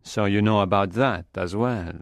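A man's voice: fairly low pitch, measured pace, no echo, studio quality, natural voice. A man voicing an earnest, puzzled younger man in an audiobook, asking questions anxiously. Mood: probing.

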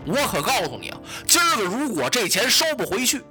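A swaggering sword-toting gang bully , as a storyteller puts him on, threatening in a lively, bright voice that swoops up and down. Music plays faintly behind. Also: medium pitch, brisk. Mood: menacing. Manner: blustering, threatening. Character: gruff male gang enforcer with broadsword.

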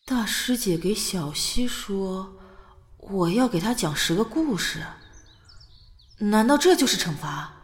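Slow, hesitant young woman soldier. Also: mid-range voice, lively intonation, husky, no echo. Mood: flustered. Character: awkward soft-hearted young female soldier, tipsy then hungover. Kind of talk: modern audio drama acting.

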